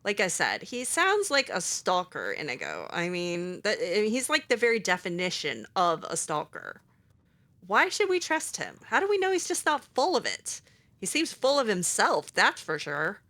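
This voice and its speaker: A woman's voice: medium pitch, wildly swooping pitch, moderate pace, pushed and bright. A woman voicing her own character while playing a video game, sarcastic and hesitant, with many fillers. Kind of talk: in-character roleplay voiced over gameplay.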